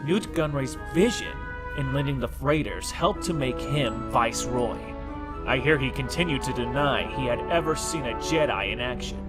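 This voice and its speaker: A man playing a composed chronicler recounting political events in a measured comic dub delivery. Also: mid-range voice, close to an everyday voice. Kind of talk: scripted comic dub dialogue. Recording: loud music over voice.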